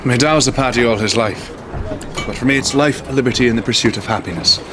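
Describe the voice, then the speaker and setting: A man plays a stubborn, defiant common sailor on a warship. He talks quickly and bluntly. Sea noise sits clearly behind him on the film soundtrack. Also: medium pitch, lightly acted. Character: defiant male American-Irish sailor.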